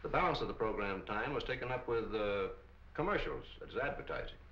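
An actor playing an obliging, businesslike older radio executive in a 1940s film. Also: male voice, lowish voice, lively intonation, brisk, fairly bright tone. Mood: explanatory. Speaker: radio station manager. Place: furnished office film set. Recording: muffled, echoey, hissy old film soundtrack.

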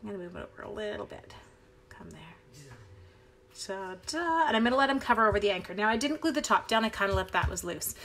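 A woman's voice: medium pitch, expressive, quick pace, fairly bright tone. Chatty woman demonstrating card-making. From Canada. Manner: chatty, upbeat, talks while working and reads out viewer comments. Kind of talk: live crafting tutorial, chatting with viewers. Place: indoors at a craft desk.

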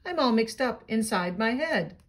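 A woman's voice: a little high, moderate pace, voice pushed up. A woman reading a children's storybook aloud, voicing a big, hungry, good-natured male bear who is easily muddled. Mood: apologetic. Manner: expressive, simple storybook delivery.

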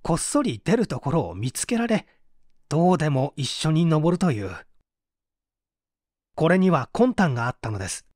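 An actor playing a weary, fatalistic murder suspect giving a dramatic confession in a mystery audio drama. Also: male voice, fairly high pitch, sing-song, normal speed, pauses often, darkish tone, no echo, studio quality. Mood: weary, bitter. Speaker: voice actor reading a story.

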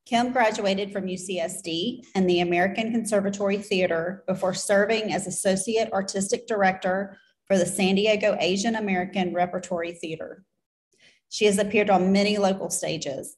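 A woman's voice: mid-range voice, fairly even intonation, moderate pace. A middle-aged woman hosting an event, reading a scripted formal introduction into a podium microphone. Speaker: event host introducing a performer. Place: indoor auditorium stage at a lectern. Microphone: gooseneck podium microphone.

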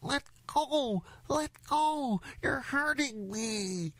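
Storybook baby elephant male reader acting: high, earnest, painfully polite, pleading, husky-edged. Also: expressive, moderate pace, a little mellow. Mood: pained, nasal. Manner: courteous, wordy, pleading. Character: young elephant child, very polite, innocent, earnest. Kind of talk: storybook character voice.

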